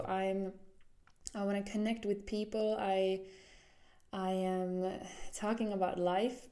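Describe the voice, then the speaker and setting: A young Finnish woman in her twenties talks through her solo podcast at an unhurried pace. Her voice is soft and mellow, and she speaks with a Finnish accent. She is earnest and often circles back to restart a phrase as she searches for her words. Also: mid-range voice. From Finland. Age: 20s. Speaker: podcast host.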